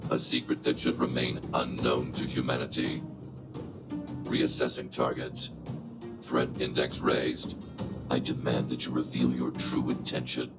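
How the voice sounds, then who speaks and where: An imposing robot sentinel with an exceptionally low pitch. The delivery is formal, clipped and almost without inflection, coldly logical as in a game cutscene, over clear background music. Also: male voice, completely flat delivery, a little slow. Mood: stern, guarded. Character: cold, imposing, logical guardian robot, protective, unyielding. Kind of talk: video game cutscene acting.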